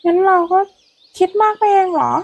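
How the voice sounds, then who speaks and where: A woman's voice: very high-pitched, fairly quick, pitched above the performer's own voice. A woman voicing an anxious young woman in love, questioning her sweetheart in a hurt manner in a storybook. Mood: sheepish.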